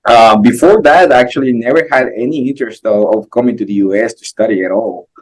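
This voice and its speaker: A man's voice: medium pitch, quick pace, rough recording. A man from Guatemala, an assistant professor, answering interview questions casually with many fillers and restarts.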